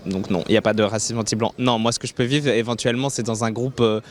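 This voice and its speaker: A man interviewed on the street, explaining his view in a steady, explanatory way. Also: fairly low pitch, quick pace, slight background noise.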